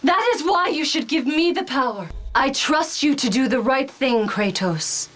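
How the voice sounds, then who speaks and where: An actress playing a desperate, pleading woman in a fantasy game, forceful and drawn-out. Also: sits high, lively intonation. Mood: urgent. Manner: drawn-out, forceful, emotional delivery.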